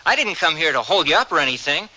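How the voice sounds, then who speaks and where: An actor playing a guarded young American drifter in an old-time Western radio drama. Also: male voice, fairly high pitch, very animated, fast, bright, forceful tone, heavily acted, low-quality recording. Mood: guarded, placating.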